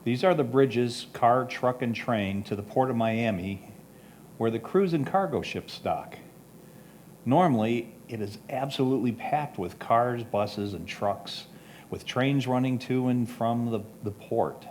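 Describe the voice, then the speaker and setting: A man's voice: medium pitch, measured pace, darkish tone. Man reading notes over faint background noise. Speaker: reader of photographers' comments. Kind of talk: reading prepared notes aloud.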